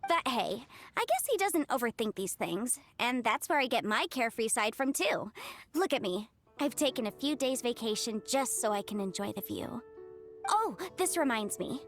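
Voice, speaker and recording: A woman's voice: fairly high pitch, animated, moderate pace, faint music behind. A woman playing a breezy, sociable, carefree young woman in a video game, easygoing and chatty. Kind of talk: English video game voice acting.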